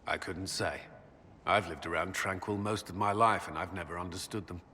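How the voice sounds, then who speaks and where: An actor playing a stern, troubled military commander and former templar, speaking in a grave, measured, earnest way in a video game. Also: male voice, low-pitched, fairly even intonation, sharp tone, big-room sound, slight background noise. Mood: somber, reflective. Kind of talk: video game dramatic acting.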